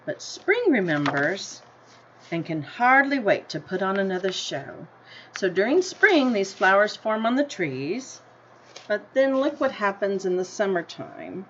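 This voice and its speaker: An American woman teacher reading a picture book aloud to children, deliberately, with simple explanatory asides and questions to her listeners. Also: mid-range voice, sing-song, a bit bright. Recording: dull lo-fi sound with noticeable background noise.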